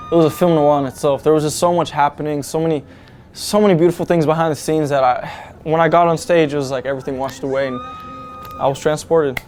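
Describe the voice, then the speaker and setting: Young male musician, slightly high voice; keen, heartfelt, loose interview talk. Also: a little mellow. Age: young adult. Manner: earnest, enthusiastic, rambling with restarts. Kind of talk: casual interview answers.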